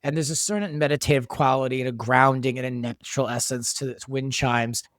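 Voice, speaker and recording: A man with a mid-pitched voice talks as a creative guest on a podcast. He explains things in a casual, conversational way. The recording is very dry. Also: steady tone, a little fast, fairly bright tone, no echo. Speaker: audio drama creator and guest. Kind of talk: casual behind-the-scenes podcast discussion.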